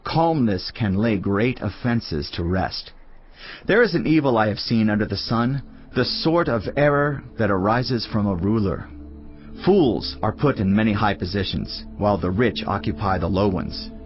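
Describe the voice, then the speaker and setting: A man playing a weary, reflective teacher of wisdom in a dramatised audio Bible, husky-voiced, with a measured, earnest, declamatory delivery. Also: lowish voice, lively intonation, dull audio, natural voice. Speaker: scripture narrator. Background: music.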